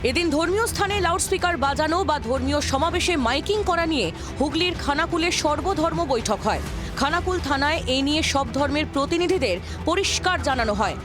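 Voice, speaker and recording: High-pitched Bengali news voice-over over music. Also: expressive, a little fast. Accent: Bengali from West Bengal. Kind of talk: scripted news narration. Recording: music bed behind voice.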